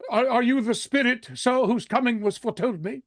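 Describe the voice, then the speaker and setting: A man playing a frightened, regretful old miser in a radio drama, stammering and repeating his words in a creaky voice. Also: extremely high pitch, brisk, dry recording, studio quality. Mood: fearful, stammering.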